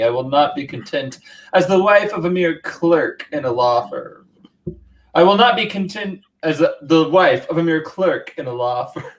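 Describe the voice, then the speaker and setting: A woman's voice: sits low, slight background noise, low-quality recording. A woman playing a prim, easily scandalised young Victorian schoolmistress in a comic radio play read-through.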